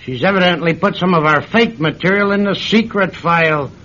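A sharp, stern intelligence chief. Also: male voice, sits high, a little fast. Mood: alarmed. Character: stern, alarmed male intelligence chief, suspicious superior. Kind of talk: radio drama acting. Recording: dull, lo-fi radio drama recording.

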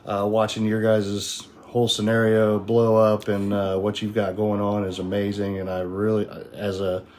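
A man with a hoarse, worn-down voice sitting low in pitch, talking as a guest farmer on a podcast. He rambles eagerly from one point to the next, and a faint hum of background noise sits under him. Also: monotone, normal speed, a little mellow. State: hoarse, losing his voice. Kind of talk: casual interview talk.

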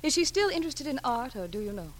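A woman's voice: sits high, sing-song, fast talker. An actress playing a forward, flirtatious wealthy married woman, coolly direct and teasing, in classic radio drama style.

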